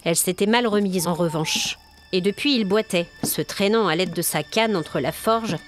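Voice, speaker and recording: A woman's voice: on the low side, normal speed, faint music behind. A woman storyteller reading scripted narration for a dramatic audio tale.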